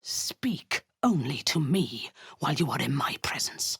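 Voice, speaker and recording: A woman playing a cruel, haughty drow cult commander in a video game, with a breathy, husky voice and a commanding, menacing manner. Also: extremely low pitch, animated, unhurried, full character voice. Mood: cold, imperious. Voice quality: breathy, husky, often dropping to a whisper. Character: imperious, cruel female drow cult commander, seductive and zealous.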